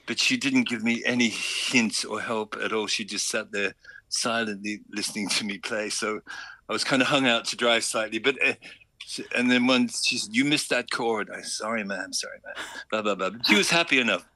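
An Irish man, a guest musician on a phone-in show, tells an old story with self-deprecating humor. His voice is bright and forward. The sound is dry and close, with no room around it. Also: lowish voice, normal speed, no echo. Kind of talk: phone-in radio chat.

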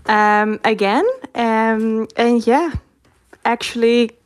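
A woman's voice: a little high, fairly even intonation, slow, no echo. A woman from the Netherlands with a Dutch accent, speaking as a radio guest and searching for her words in English. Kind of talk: interview.

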